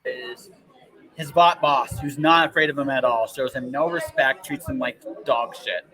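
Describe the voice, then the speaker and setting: A man's voice: mid-range voice, unhurried, pushed and bright, low-quality recording. A man hosting a casual podcast conversation, talking emphatically and often repeating his words and phrases for stress. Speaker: podcast host. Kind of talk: casual interview chat.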